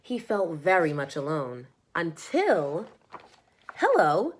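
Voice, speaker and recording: Woman, storybook reader, scripted children's narration, expressive, animated intonation. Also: mid-range voice, moderate pace.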